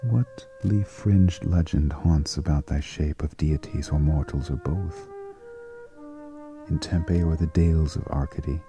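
Deep, dark-voiced man reciting poetry slowly. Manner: solemn, deliberate, pausing between short phrases. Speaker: poetry reader. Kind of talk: slow, dramatic poetry recitation. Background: flute music.

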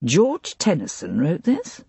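A woman voicing a calm, polite gentleman investigator who asks measured questions in a lowered voice. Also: creaky, breathy edge, no echo, exaggerated voice.

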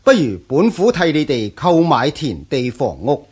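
An actor playing a patient, principled prefect in a radio drama, measured and earnest, with a Hong Kong Cantonese accent. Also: male voice, on the high side, sing-song, darkish tone, breathy edge, full character voice. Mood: persuasive. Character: patient, principled male scholar-official, a prefect.

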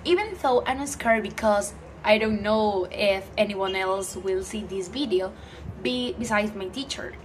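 A teenage girl from Ecuador, a native Spanish speaker, introduces herself to her phone camera in a small room. She speaks cheerful, halting English with an Ecuadorian Spanish accent and often stops to search for words. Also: medium pitch, a little hiss or hum, rough recording. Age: teens. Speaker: student vlogger. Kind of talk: casual self-introduction to camera. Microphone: phone front camera at arm's length.

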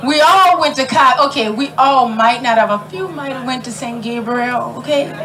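A woman's voice: high-pitched, moderate pace, background noise. A middle-aged woman emceeing an outdoor community ceremony, chatty and folksy, laughing often and calling out to the crowd. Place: outdoor tent at a park gathering.

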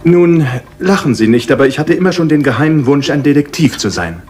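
An actor playing a genial, eager English army lieutenant, chatty and polite, in a radio play. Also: male voice, a little high, animated, fairly quick, darkish tone, close to an everyday voice. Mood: proudly reminiscing.

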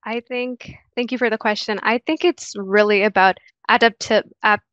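A woman's voice: mid-range voice, fairly bright tone, clean tone, dry recording. A young woman from Guyana, a guest on a video call, answering earnestly with many fillers and restarts. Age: young adult. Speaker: interview guest. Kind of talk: casual interview answers. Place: small room indoors. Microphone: headset on video call. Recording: dull lo-fi video call audio.

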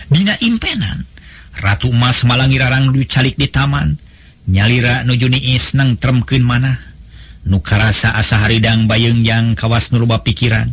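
A man with a Sundanese accent narrating a traditional folk tale in a melodic, unhurried storytelling cadence. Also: medium pitch, husky. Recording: faint background music.

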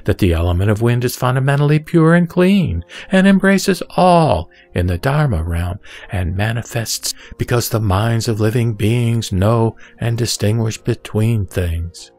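A man reciting scripted scripture in a measured, didactic manner. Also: medium pitch, lively intonation, darkish tone. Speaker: sutra reciter. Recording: background music under the voice. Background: music.

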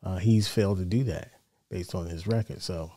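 Male American sports host, middle-aged, lowish relaxed voice, measured and conversational delivery. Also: no room sound, clean studio sound. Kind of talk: casual sports commentary. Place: small home studio, indoors. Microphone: close broadcast mic on boom arm.

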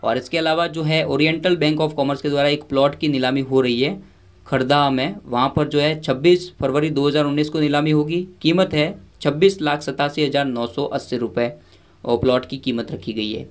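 A man's voice: on the high side, fairly even intonation, moderate pace. A male presenter giving an informal news voice-over, talking conversationally with many fillers and restarts. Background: background music.

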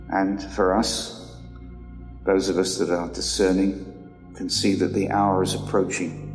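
A man preaching in a very low voice with a gravelly edge, earnest and fond of tag questions. His words ring out in a long hall reverb, with music clearly playing underneath. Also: darkish tone. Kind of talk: sermon with scripture reading. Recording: echoey hall with music behind.

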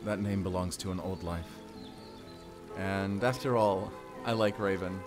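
Man playing gentle devoted boyfriend and dad, unhurried, soft and hesitant, audio roleplay, faint music behind. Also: deep voice, fairly even intonation. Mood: fond, relaxed. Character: gentle, affectionate father and tender romantic partner. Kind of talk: intimate audio roleplay acting.

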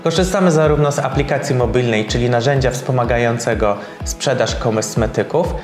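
A man in his thirties speaks in a measured, slightly formal way, pausing on small fillers as he describes his business. His voice has a middle pitch and sounds roomy, with soft music under it. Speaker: salon co-owner. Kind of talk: sponsored testimonial interview. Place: bright hair salon, indoors.

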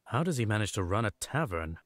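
An actor playing a gallant knight in a video game, speaking in a formal, grandiose manner. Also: male voice, lowish voice, big pitch swings, quick pace, no room sound. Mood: blunt curiosity. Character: gallant, pompous male knight. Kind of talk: video game character acting.

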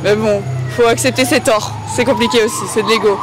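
A woman's voice: fairly high pitch, fairly even intonation, brisk, music in the background, a crowd in the background. A young woman in her twenties with a Belgian French accent, answering hesitantly on the street. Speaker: street interviewee. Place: outdoors on a city street.

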